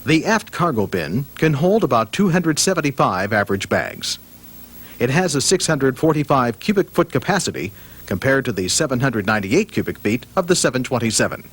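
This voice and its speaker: Husky man narrating with lively intonation. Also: medium pitch, fairly quick. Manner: factual, formal. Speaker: training film narrator. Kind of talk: scripted instructional narration.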